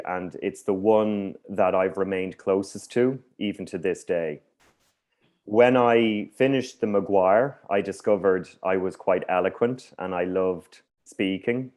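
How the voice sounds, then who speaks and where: An Irish man who stutters, hosting a workshop presentation in a measured way with slight blocks on some words. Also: low voice, a little mellow. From Ireland. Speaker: workshop host. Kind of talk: conference presentation. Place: video call.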